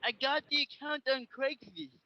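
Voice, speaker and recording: A woman making a phone call as a customer, speaking in an insistent, excitable way. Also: high voice, moderate pace. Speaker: caller, online game customer. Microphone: telephone line. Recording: muffled lo-fi phone call audio.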